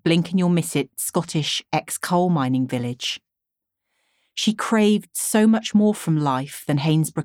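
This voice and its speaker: A woman in her forties reads a personal memoir aloud as an author would for an audiobook. Her voice sits low for a woman. The sound is dry, with hardly any room around it. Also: darkish tone, no echo. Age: 40s. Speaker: author reading own memoir. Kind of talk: scripted audiobook narration.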